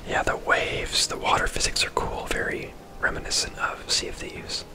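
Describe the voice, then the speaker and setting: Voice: normal speed, low-quality recording. A person whispering casual, chatty game commentary in an ASMR style, rambling off into asides, close to the microphone. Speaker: video game streamer and host.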